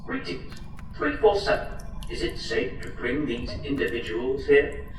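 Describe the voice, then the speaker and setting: A man's voice: medium pitch, sing-song, measured pace. A man playing a wary, authoritative android officer in a sci-fi audio drama, speaking formally and with command. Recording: echoey hall, distant, noisy ambience.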